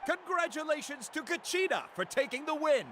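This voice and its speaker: An actor voicing a showy male tournament announcer in a video game, proclaiming grandly with drawn-out words. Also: extremely high pitch, lively intonation, pushed and bright, heavily acted. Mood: congratulatory. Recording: clear noise behind the voice.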